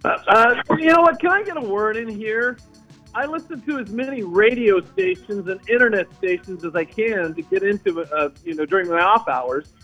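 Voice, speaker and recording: Actor as a high-voiced, muffled, indignant male caller. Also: expressive, normal speed, sharp tone, faint music behind. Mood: indignant, suspicious. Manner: insistent, aggrieved. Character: indignant, suspicious male conspiracy-believing phone caller. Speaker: radio show caller. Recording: dull lo-fi phone call.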